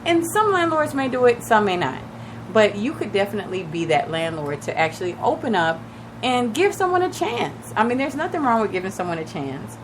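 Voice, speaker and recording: A woman radio host with a raspy, slightly shaky voice from recently losing it, chatting casually and advising listeners directly. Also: mid-range voice, sing-song, brisk, background noise. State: recovering from lost voice, a little shaky. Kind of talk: casual radio talk on real estate. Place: radio studio.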